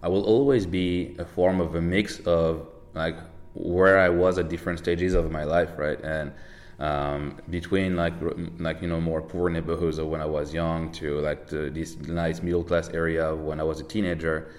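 A man's voice: low voice, little pitch movement, normal speed. A man in his thirties from Paris with a French accent, chatting casually as a podcast guest, rambling with many fillers and restarts. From France, Paris. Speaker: podcast guest, tech entrepreneur. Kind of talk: casual interview chat.

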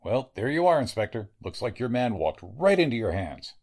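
A man playing a grave, blunt medical examiner in a 1940s-style radio drama, with a deliberate delivery. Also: mid-range voice, big pitch swings, a little mellow, breathy edge. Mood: blunt, accusing.

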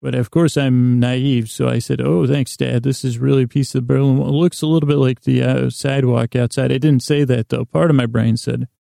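A man hosting a podcast, rambling through a bedtime story monologue in a gravelly voice, halting and stumbling over his words as he meanders. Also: medium pitch, steady tone, dark tone, no echo, studio quality. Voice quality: gravelly, creaky voice. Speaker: podcast host.

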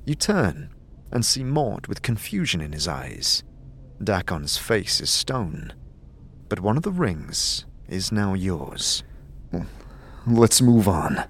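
A man's voice: low-pitched, wildly swooping pitch, slow, a bit bright. A man narrating a scripted fantasy audio drama in an intense, theatrical way. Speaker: narrator and performer. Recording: clear music bed behind voice.

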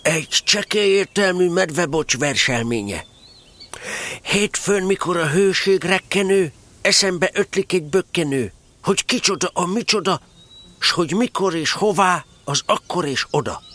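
A man's voice: sits high, lively intonation, a bit bright. A man voicing a naive, friendly little bear in a children's audio drama, earnest and a bit hesitant. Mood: earnest reciting. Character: slow-witted, friendly, dreamy little male bear. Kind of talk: children's audio drama acting, reciting verse.

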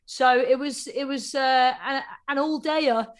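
Woman in her 30s from england, chatty, lots of restarts, fairly high voice. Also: steady tone, a little slow. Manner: chatty, with many restarts and fillers. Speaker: guest, club night founder. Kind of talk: casual radio interview.

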